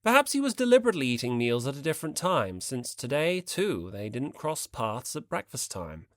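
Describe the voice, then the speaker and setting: A man's voice: medium pitch, very animated, a little fast, dry recording, clean studio sound. A man reading scripted story narration expressively as an audiobook narrator.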